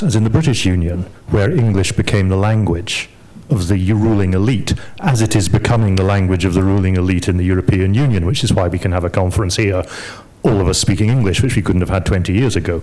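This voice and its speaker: A man's voice: low voice, a little mellow. A man from Scotland, a historian speaking on an academic panel in a lecturing, digressive, emphatic way.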